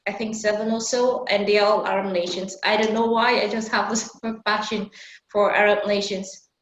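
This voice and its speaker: Woman from Africa, interview host, mid-pitched voice, roomy echo, enthusiastic. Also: flat delivery, moderate pace. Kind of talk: casual interview conversation.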